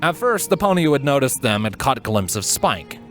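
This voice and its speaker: A man reading a story aloud as narrator, in an expressive, measured, dramatic storytelling style. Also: medium pitch. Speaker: fan fiction narrator. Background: background music.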